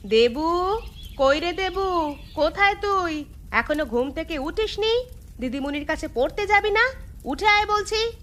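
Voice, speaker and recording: A woman playing a brisk, practical, nagging village housewife and mother in a cartoon. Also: extremely high pitch, animated, fairly bright tone, full character voice. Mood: scolding. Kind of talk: cartoon dialogue acting.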